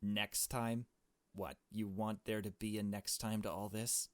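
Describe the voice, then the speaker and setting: A man's voice: on the low side, flat delivery, measured pace, no echo, lightly acted. A man voicing a hot-tempered, impatient young male accomplice in a visual novel, brash, sarcastic and foul-mouthed. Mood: angry.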